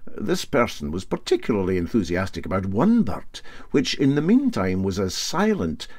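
A man's voice: lowish voice, huge pitch swings, moderate pace, a little mellow, no echo, clean studio sound. A man with a Scottish accent reading a story as a scripted audiobook narration.

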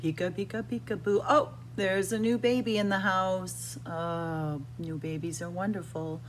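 A middle-aged woman reading a picture book aloud to young children in a deliberate, expressive, sing-song way, recorded indoors. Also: mid-range voice, a little hiss or hum. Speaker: children's library storyteller. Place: indoors in a library room with bookshelves.

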